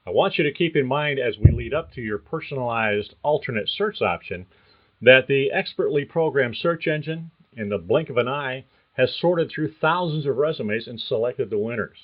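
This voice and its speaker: An older man talks through a scripted career-advice podcast at a measured, earnest pace. His voice sits in a middle pitch. It comes through thin and tinny, like a phone line. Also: animated. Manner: measured, earnest, reads like prepared narration. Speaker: podcast host and career coach.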